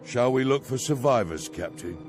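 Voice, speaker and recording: A man playing an earnest, excitable, loyal soldier in a video game cutscene, with emphatic, dramatic delivery. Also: mid-range voice, normal speed, faint music behind, natural voice. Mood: grim.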